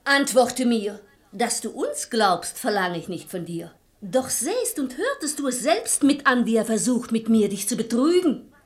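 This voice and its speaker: A woman's voice: on the high side, lively intonation, a little slow. A woman playing a shrewd, composed lady of the house in a verse comedy radio play, articulate and persuasive. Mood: exasperated.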